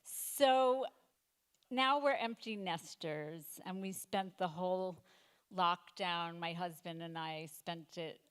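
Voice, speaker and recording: A woman in her fifties doing a stand-up comedy set, delivering deadpan one-liners with halting fillers and self-corrections. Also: fairly low pitch, clear, resonant tone. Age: 50s. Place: comedy night stage before a live audience.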